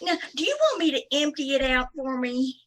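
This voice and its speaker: A woman playing an excitable, dithering older landlady, fluttery and gushing, in an old-time radio comedy. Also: high voice, normal speed. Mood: gushing innocence.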